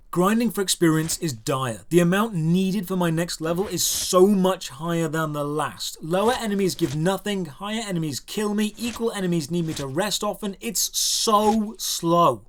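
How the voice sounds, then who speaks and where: A man's voice: high-pitched, slight background noise. A man narrating a scripted video game review in a dry, sarcastic, exasperated manner.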